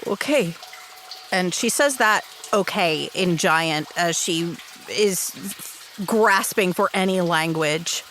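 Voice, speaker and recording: A woman's voice: on the low side, slow, fairly bright tone, slight background noise. A woman improvising story narration as a player in a tabletop roleplay game.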